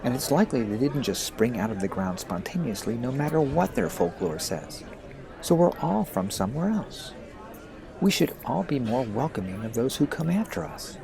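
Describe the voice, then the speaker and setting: A man acts a friendly, principled American high schooler leading his friends. His voice has a creaky edge and lively swings as he earnestly explains, set in a noisy crowd for audio drama. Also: normal speed. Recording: busy crowd noise behind.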